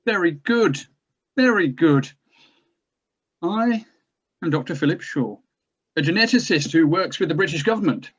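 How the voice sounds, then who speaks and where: A man's voice: fairly high pitch, animated, normal speed, long pauses, a bit bright, breathy edge. A man playing a pompous, evasive government geneticist in a scripted drama table read, speaking formally and hesitantly. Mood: smugly courteous. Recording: dull lo-fi sound.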